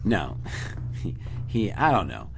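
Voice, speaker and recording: A man playing a hesitant, dutiful junior officer with a halting, awkward manner in a naturalistic audio drama. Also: sits low, wildly swooping pitch, full character voice. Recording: clear ambient noise behind.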